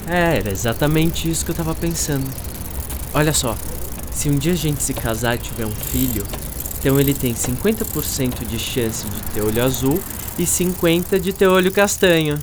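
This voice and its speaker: A man's voice: fairly high pitch, normal speed, noisy background, lightly acted. A man playing a laid-back, slightly clueless schoolboy with slangy speech in an educational radio drama. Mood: playful. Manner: colloquial, slangy.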